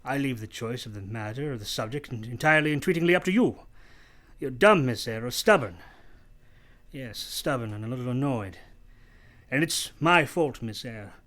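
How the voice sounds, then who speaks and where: An actor playing a brusque, teasing, moody gentleman master of the house in a radio play, imperious and halting. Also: mid-range voice, animated, close to an everyday voice. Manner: imperious, abrupt, probing, halting delivery.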